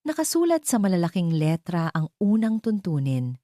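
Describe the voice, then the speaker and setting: A woman's voice: lowish voice, very animated, moderate pace, warm tone, no room sound, clean studio sound. A woman with a Filipino accent reading a scripted, dramatic story as its narrator.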